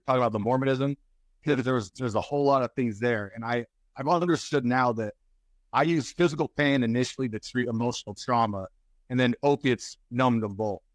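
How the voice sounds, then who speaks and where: A man's voice: mid-range voice, no echo. A man in his forties, a guest author and speaker, talking candidly in an interview with frequent restarts. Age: 40s. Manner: halting, restarts often, animated when telling stories.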